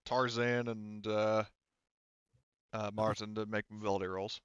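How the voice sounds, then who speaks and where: A man's voice: lowish voice, level tone, unhurried, clean tone, dry recording, muffled recording. A man casually running a tabletop roleplaying game as game master, explaining rules informally and thinking aloud.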